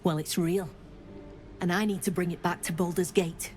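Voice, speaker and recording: A woman's voice: fairly low pitch, steady tone, normal speed, a little mellow, soft background music, lightly acted. A woman playing a defiant, foul-mouthed gnome freedom fighter in a video game, blunt, combative and cynical. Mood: urgent bargaining.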